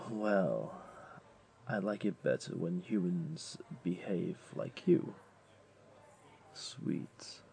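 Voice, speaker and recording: A man's voice: deep voice, creaky, dark tone, a little hiss or hum, heavily acted. A man playing a wealthy, flirtatious jaguar beastling in a comforting audio roleplay, tender and teasing, with a coaxing, purring delivery. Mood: warm, admiring.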